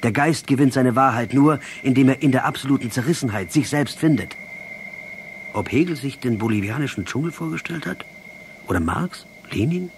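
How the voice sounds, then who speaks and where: An actor in a radio play playing a captured Marxist guerrilla commander, defiant, ironic and intellectual, speaking emphatically. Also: male voice, mid-range voice, animated, moderate pace, heavy background noise, natural voice. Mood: reflective. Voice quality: husky. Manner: sardonic, emphatic, declamatory.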